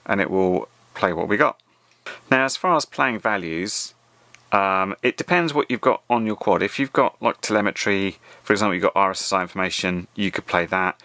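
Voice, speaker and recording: A middle-aged man with a low voice talks through a how-to in a relaxed, conversational manner. He explains things informally as a tutorial host. The sound is dry, with very little room in it. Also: normal speed, no room sound. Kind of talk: casual tutorial narration.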